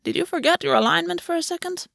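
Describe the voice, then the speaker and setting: A woman's voice: extremely high pitch, expressive, fast, gravelly, fairly bright tone, no room sound, studio quality, voice pushed up, exaggerated voice. A woman voicing an amused, sardonic male underworld god who teases offhandedly. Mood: mocking.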